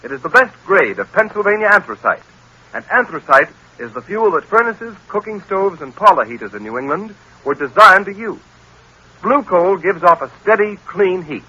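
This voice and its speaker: A man's voice: high-pitched, sing-song, moderate pace, bright, forceful tone, husky, lo-fi sound. A man with an American accent announcing in formal, emphatic, salesmanlike style, as in a 1930s or 1940s radio commercial. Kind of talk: vintage 1930s-1940s radio advertising read.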